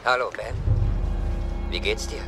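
An actor playing a confident, coolly controlling villain in a dubbed film. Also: male voice, fairly low pitch, measured pace. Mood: cool, mocking. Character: smooth, confident male villain making demands. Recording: film soundtrack with music.

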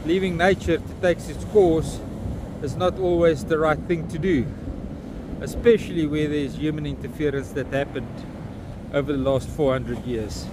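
A blunt, swearing South African man in his fifties, with a slightly high voice, rants into a phone held at arm's length. He is outside on a beach, and clear wind and outdoor noise run under his voice. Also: steady tone, normal speed. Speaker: vlogger. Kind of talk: outdoor walking vlog. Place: windy beach at an estuary mouth, outdoors. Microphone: phone camera held at arm's length.

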